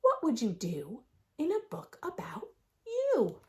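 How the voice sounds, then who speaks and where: A woman reading a picture book aloud for young children in an expressive, sing-song storytelling manner, adding her own asides. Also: high-pitched, slow. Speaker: children's read-aloud host.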